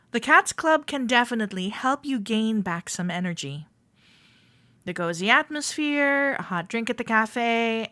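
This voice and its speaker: A woman playing a friendly, playful club member in a roleplay audio, gently reassuring a shy newcomer as she speaks directly to the listener. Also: on the high side, no room sound. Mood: curious, puzzled. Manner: gentle, reassuring, playful, hesitant soft-spoken chattiness.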